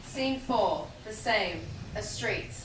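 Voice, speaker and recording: A woman's voice: medium pitch, animated, slow. A woman plainly reading out scene headings and stage directions from a script. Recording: echoey room from far off, background noise.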